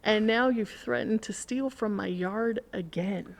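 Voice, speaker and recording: A woman's voice: medium pitch, unhurried, vocal fry. A woman in a casual podcast conversation, telling an anecdote and acting out quoted remarks as she goes.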